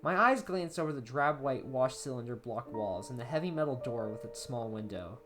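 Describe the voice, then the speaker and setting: A woman reading a first-person horror story as an amateur narrator, expressive and dramatic, letting phrase endings drop to a hush. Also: rumbling low, normal speed. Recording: soft guitar music behind the voice. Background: plucked guitar music.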